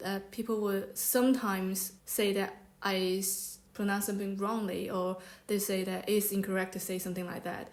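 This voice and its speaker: A young woman from Macau, a native Cantonese speaker, speaking English at a middle pitch. She talks hesitantly and stops often to find her words. The recording has a roomy echo around her voice. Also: little pitch movement, moderate pace, few pauses. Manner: hesitant, full of "uh" and "like" fillers. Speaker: podcast co-host. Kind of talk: podcast discussion.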